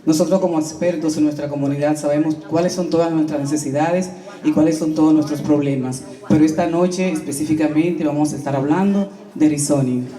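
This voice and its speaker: A man co-moderates a town hall and introduces the next speakers with brisk, organized announcements. He talks quickly, and his voice is very dark and mellow. The sound is distant and echoey, coming from far off in a large hall. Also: on the high side. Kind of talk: formal address to an audience. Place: community center hall.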